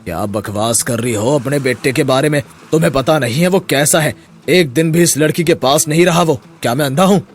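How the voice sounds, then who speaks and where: A man's voice: a little high, a little fast, a little hiss or hum, lightly acted. A man voicing a stern, remorseful older landowner father, grave and earnest, in a moral story.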